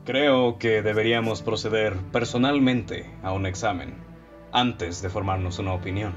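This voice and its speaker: A man with a Mexican accent acting a self-assured, analytical detective in a radio play, speaking formally and deliberately, on a lo-fi recording. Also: on the low side, steady tone, faint music behind, natural voice. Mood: composed, inquiring. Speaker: amateur actor in a radio play.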